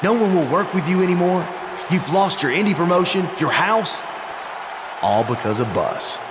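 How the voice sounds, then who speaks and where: An arrogant heel wrestler in a video game, scheming and theatrical. His fairly high male voice moves up and down a lot as he goads the crowd. It rings through a big arena over clear background noise. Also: animated, moderate pace, in a large hall. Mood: smug, goading. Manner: goading, theatrical. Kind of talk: wrestling promo on microphone.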